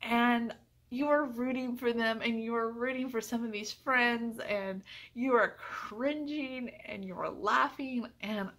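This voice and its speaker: A woman's voice: on the high side, measured pace, fairly bright tone. A woman giving a casual, chatty book review, effusive and emphatic, often repeating phrases for emphasis.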